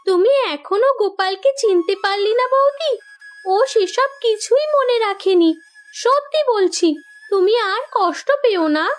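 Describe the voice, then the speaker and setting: A forgiving young married daughter from a cartoon family tale, acted by a woman. Her voice sits extremely high, and she speaks gently and reassuringly, with a cheerful temper. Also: lively intonation, measured pace, faint music behind. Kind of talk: Bengali moral cartoon voice acting.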